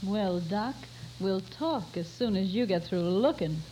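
Actress as a sultry nurse, highly varied pitch. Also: female voice, expressive, normal speed, natural voice. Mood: sultry, flirtatious. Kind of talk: old-time radio comedy acting. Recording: noisy old radio recording.

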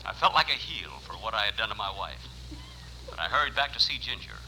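A man's voice: fairly low pitch, animated, a little fast, sharp tone, soft background music, exaggerated voice. An actor playing a surgeon who narrates his romance in a wry, mock-dramatic radio comedy style. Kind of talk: scripted old-time radio comedy narration. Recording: noisy old radio recording.

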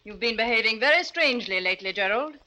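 A woman's voice: on the high side, lively intonation, fast, pushed and bright, low-quality recording. An actress playing a fierce, jealous woman in an old-time radio drama, with an intense, demanding manner. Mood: agitated. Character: fierce, jealous, agitated woman under a charming surface.

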